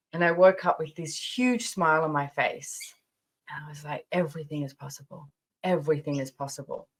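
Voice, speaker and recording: A woman's voice: low-pitched, a bit bright. A woman in her fifties hosting a podcast, telling personal stories casually in a chatty, emphatic way, repeating phrases for stress. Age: 50s. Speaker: podcast host. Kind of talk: casual personal storytelling monologue.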